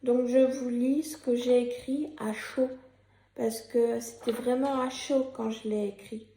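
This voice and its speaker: Hoarse young woman with a cold. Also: a little high, level tone, unhurried, mellow, reverberant, a little hiss or hum. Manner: hesitant, many fillers and restarts. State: has a cold and a sore throat. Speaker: YouTuber. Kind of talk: casual vlog commentary, partly read from a script.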